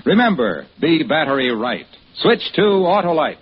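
Animated, punchy vintage radio pitchman. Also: normal speed. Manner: punchy, emphatic salesman delivery. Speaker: radio commercial announcer. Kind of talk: 1940s radio advertising pitch. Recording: dull, noisy old radio recording.

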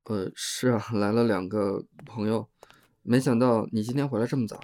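An actor playing a considerate, slightly awkward young working man in an audio drama, with gentle, hesitant, natural delivery. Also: on the low side, fairly even intonation, moderate pace, lightly acted. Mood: awkward, polite. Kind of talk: Chinese audio drama acting.

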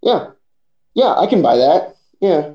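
A man's voice: a little high, big pitch swings, a little slow, echoey room, dull audio. A man in his early thirties co-hosting a casual movie podcast. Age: early 30s. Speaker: podcast co-host.

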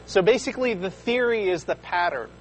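Halting higher-voiced man explaining science. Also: lively intonation, slight background noise, rough recording. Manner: halting, many fillers and restarts. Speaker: co-host of call-in show. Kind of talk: casual explaining on a call-in show. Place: studio.

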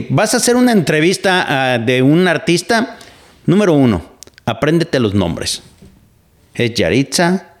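A Mexican man with a voice pitched a little above the usual for men hosts a podcast. He talks in a loose, rambling monologue, full of fillers and self-corrections, with the relaxed ease of someone long used to talking on air. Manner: rambling, frequent fillers and restarts. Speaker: podcast host. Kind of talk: casual opinion monologue. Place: living in the United States.

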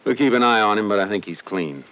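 An actor playing a calm, methodical Texas Ranger in a 1950s radio crime drama, speaking tersely and matter-of-factly. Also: male voice, lowish voice, quick pace, lightly acted. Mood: quietly intent. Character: calm, methodical Texas Ranger lawman narrating his case. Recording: noisy, muffled 1950s radio recording.

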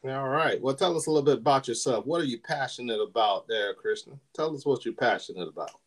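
A man's voice: medium pitch, fairly quick. A man hosting his own podcast in an upbeat, hype style with casual slang. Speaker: podcast host.

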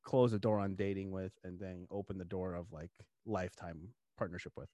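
A man's voice: low voice, fairly even intonation, a little fast, warm tone, dry recording. Young guy podcast host, rambly with lots of ums, dry close sound. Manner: rambling, filler-heavy, laughs often. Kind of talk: casual chat.

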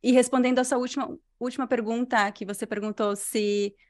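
A Brazilian woman with a mixed Brazilian Portuguese accent, a language teacher answering interview questions in clear, unhurried speech. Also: on the high side, steady tone, a little mellow. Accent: Brazilian Portuguese, mixed regional. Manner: clear, unhurried diction, completes every phrase.

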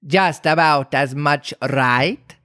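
Man as a slow, pompous storybook Duchess. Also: on the high side, sharp tone, smooth, dry recording, studio quality, voice pushed up. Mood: pleased, pompous. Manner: slow, drawn-out delivery. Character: pompous, slow-talking, self-satisfied duchess.

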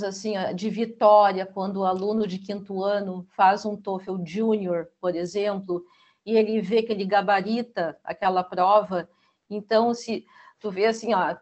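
Woman, school rep, mid-pitched, chatty and filler-heavy. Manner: chatty, uses many fillers like né. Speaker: school representative. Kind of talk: conversational interview answers.

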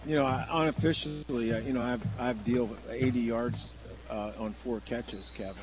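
A man giving relaxed color commentary on a high school football radio broadcast, talking in a halting way with fillers. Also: music in the background, rough recording.

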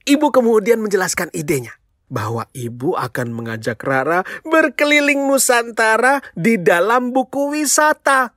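A storyteller telling a children's tale in an expressive, playful way, speaking straight to young listeners with exclamations and questions. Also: mid-range voice, moderate pace, no room sound, studio quality. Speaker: children's storyteller, show host.

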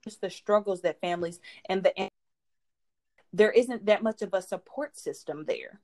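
A woman's voice: medium pitch, normal speed, mellow. A married woman speaking as a podcast guest, giving an earnest faith-based testimony, often repeating words and restarting her sentences. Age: adult.

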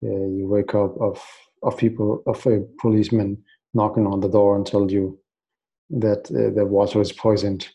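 A man's voice: fairly low pitch, little pitch movement, moderate pace, gravelly, dark tone, low-quality recording. A man in his late twenties, originally from Iraq, talking earnestly in an interview and often restarting his words. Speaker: guest. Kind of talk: casual interview.

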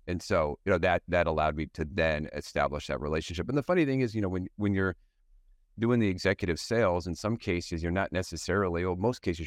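A middle-aged man with a very low, deep voice, speaking as an interview guest. He tells stories from his working life in a reflective, unhurried way, with lots of "you know" fillers. The sound is dry and close, with no room echo. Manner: thoughtful storyteller, frequent "you know" fillers. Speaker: guest, sales professional.

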